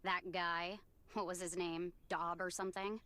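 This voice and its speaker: A woman's voice: lowish voice, little pitch movement, unhurried, fairly bright tone, slight background noise. An actress playing a blunt, wary survivor townswoman in a video game, speaking matter-of-factly. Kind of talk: dramatic video game dialogue.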